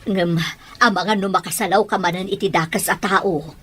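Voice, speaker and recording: A woman acting a protective, sharp-tongued, doubting mother in an Ilocano audio drama, with a husky voice. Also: mid-range voice, steady tone, moderate pace. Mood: worried, questioning.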